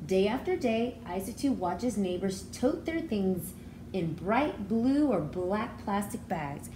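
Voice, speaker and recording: A woman teacher reading a story aloud to young children, expressively, pausing to explain things in simple words. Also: medium pitch, lively intonation, warm tone, a little hiss or hum, poor audio. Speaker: preschool educator.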